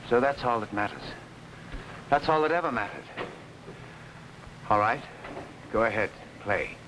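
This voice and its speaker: An actor playing a grave, tormented orchestra conductor in dramatic 1950s television melodrama style. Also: male voice, mid-range voice, measured pace, close to an everyday voice. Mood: appalled, bitter. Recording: noisy old 1950s TV soundtrack.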